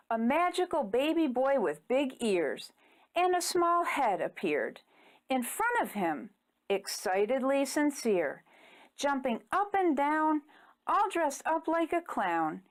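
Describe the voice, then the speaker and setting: A woman reading a rhyming children's story aloud in an expressive, deliberate, theatrical way. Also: sits high. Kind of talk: rhyming picture-book read-aloud.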